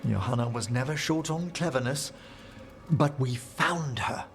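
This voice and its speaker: An actor playing a refined, scholarly older necromancer in a video game, eloquent and formal, courteous but anxious. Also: male voice, medium pitch, a little slow, natural voice. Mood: courteous, pleased. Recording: music behind the voice.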